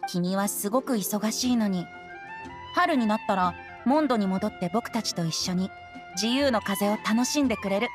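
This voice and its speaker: A woman voicing a playful, gentle boyish bard in a Japanese anime game. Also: mid-range voice, lively intonation, normal speed, over music, lightly acted. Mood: friendly, inviting.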